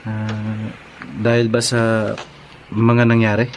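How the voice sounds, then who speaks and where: An actor playing a polite, earnest old flame in a radio drama, courteous and a little hesitant. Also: male voice, fairly low pitch, completely flat delivery, slow, soft background music. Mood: tentative. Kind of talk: Filipino radio drama acting.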